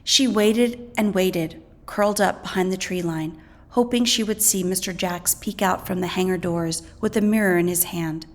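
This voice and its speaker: A woman's voice: lowish voice, moderate pace. A woman reading educational material aloud as a teacher, in an instructional way that explains and poses questions. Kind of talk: scripted educational read-aloud narration.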